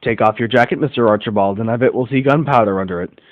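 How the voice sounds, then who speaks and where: A man's voice: mid-range voice, quick pace, no room sound, dull audio, close to an everyday voice. A man plays a self-assured 1940s detective in a radio mystery. He speaks formally, as if teaching his partner, and the sound is close and dry. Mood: accusing. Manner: formal, didactic, declarative phrasing. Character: confident, observant male detective in 1940s America. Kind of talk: amateur internet radio play acting.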